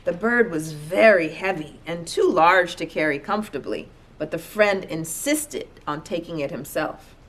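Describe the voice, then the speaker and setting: A woman reading a children's storybook aloud as an expressive narrator. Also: fairly low pitch, unhurried, hardly any pauses. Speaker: storybook reader.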